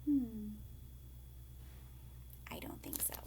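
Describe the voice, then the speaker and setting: A woman teacher reading a storybook aloud to young children, dramatic and expressive, with hushed questioning asides. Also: on the high side, extremely slow, in a whisper.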